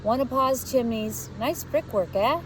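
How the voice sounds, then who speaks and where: A woman voicing a cheeky, bossy working-class boy in a storybook, talking in hushed slangy dialect. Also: fairly high pitch, a little slow, background noise, pitched above the performer's own voice, natural voice. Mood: proud. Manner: whispering, slangy street dialect.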